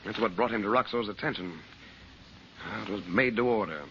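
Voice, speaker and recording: An actor playing a shrewd, composed uncle, a magician adventurer, in an old-time radio serial with grave, measured delivery. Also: male voice, fairly low pitch, close to an everyday voice. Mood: grave, assured. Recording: muffled, faintly noisy old radio recording.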